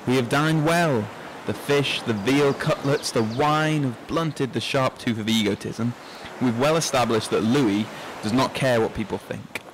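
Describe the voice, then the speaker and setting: A man's voice: mid-range voice, unhurried, few pauses, noisy background, lightly acted. An actor playing a reflective, resigned middle-aged man in a literary radio drama, speaking in poetic, declamatory phrases.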